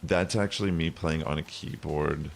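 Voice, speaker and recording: Streamer: young man, bassy low voice, rambling casual talk, gravelly edge, faint music under him. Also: steady tone, normal speed. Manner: rambling, many fillers. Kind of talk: casual chat while gaming.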